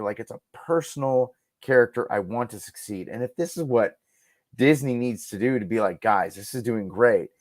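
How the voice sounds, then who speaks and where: Male podcast guest, middle-aged, mid-pitched, earnest and emphatic fan talk, very dry recording. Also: dry recording. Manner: earnest, emphatic, repeating himself for stress. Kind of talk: casual fan discussion.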